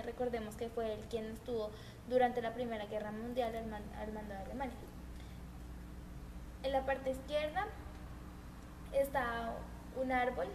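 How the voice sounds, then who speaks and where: A woman presenting a history documentary, reading earnestly with small stumbles and restarts. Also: a little high. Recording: noisy homemade recording.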